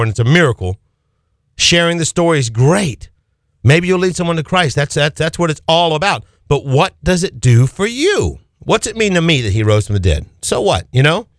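A man's voice: fairly high pitch, dry recording, studio quality. A man preaching an Easter sermon as pastor, pressing his points with swinging emphasis and repeated phrases.

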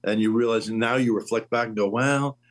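A man in his late sixties hosting a casual faith conversation, telling stories with lively swings in his voice. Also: mid-range voice, brisk. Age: 60s. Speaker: host.

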